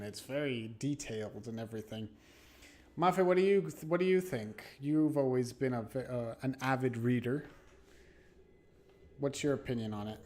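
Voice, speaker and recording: A man live-streaming a game, reading horror narration aloud dramatically and haltingly, stumbling and correcting himself. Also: medium pitch, a little mellow. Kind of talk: live read-aloud of a horror visual novel with asides. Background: background music.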